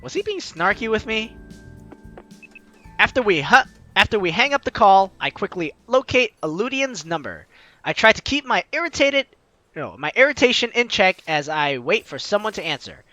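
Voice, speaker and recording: A man reads a story game aloud and jokes between lines. His voice is high and very lively in pitch. Faint music plays under him. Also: normal speed, no room sound. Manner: animated, joking asides. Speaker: game player reading dialogue aloud. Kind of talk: let's play narration.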